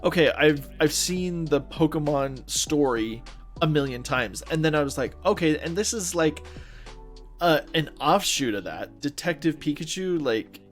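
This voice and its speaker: A young man with a fairly high voice chats eagerly as a podcast co-host. He piles up "like" as he gushes about what he enjoyed. Faint music hums in the background. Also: animated, a little slow. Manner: enthusiastic, peppered with "like". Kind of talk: casual chat.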